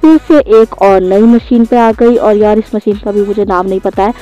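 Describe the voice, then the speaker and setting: A woman's voice: medium pitch, fairly even intonation, moderate pace, dark tone, muffled recording. A woman, giving a casual, chatty voice-over for a daily vlog. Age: 20s. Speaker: vlogger. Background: faint music.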